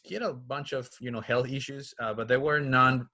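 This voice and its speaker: A man's voice: mid-range voice, steady tone, fairly quick, sharp tone. A man from Colombia with a Colombian accent and Spanish as his first language, a doctor telling personal stories in a casual, conversational interview style. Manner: conversational, informal. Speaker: oncologist being interviewed.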